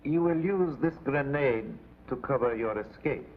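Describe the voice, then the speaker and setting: Solemn conspirator chief played by a man, mid-range voice, deliberate commands, narrow vintage thriller recording. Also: mid-range voice, lightly acted. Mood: solemn, commanding. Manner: formal, deliberate. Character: solemn, commanding male conspirator giving orders. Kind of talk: 1950s TV thriller acting. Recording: tinny, noisy vintage TV soundtrack.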